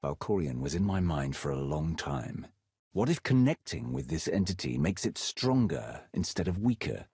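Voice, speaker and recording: An actor playing a stern, skeptical Sith commander in a video game, terse and authoritative. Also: male voice, deep voice, sing-song, moderate pace, creaky, darkish tone, breathy edge, dry recording, clean studio sound, exaggerated voice. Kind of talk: video game cutscene acting.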